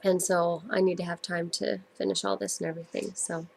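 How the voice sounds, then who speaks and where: A woman's voice: fairly low pitch, flat delivery, a little fast, creaky, heavy background noise. Stuffy-nosed woman rambling podcast host. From United States. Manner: rambling, many ums and self-corrections. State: stuffed up with a cold. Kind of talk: casual solo podcast chat.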